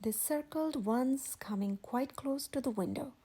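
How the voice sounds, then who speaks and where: A woman reading a children's picture book aloud in a measured, expressive storytelling manner. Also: sits high, husky. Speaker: children's story channel host and reader. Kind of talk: scripted read-aloud narration of a picture book.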